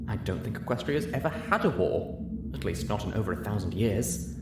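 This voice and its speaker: A man's voice: fairly low pitch, lively intonation, a little fast, echoey room, music in the background, natural voice. A man voicing an earnest, studious female unicorn pony who explains things precisely, in a story reading. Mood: troubled.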